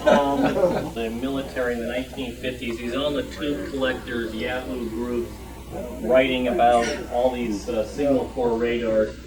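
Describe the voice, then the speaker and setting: A man's voice: medium pitch, flat delivery, moderate pace, far from the mic, over a noisy crowd, poor audio. A man speaking informally and telling anecdotes at a show-and-tell. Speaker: club member presenting his collection. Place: club meeting room. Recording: recorded from off the mic.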